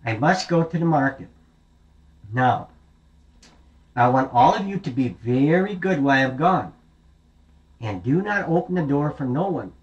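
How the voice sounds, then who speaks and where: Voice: mid-range voice, expressive, measured pace, long pauses, lo-fi sound, close to an everyday voice. A storyteller doing a fussy, anxious mother goat. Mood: warning. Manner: fussy, warning, old-fashioned speech.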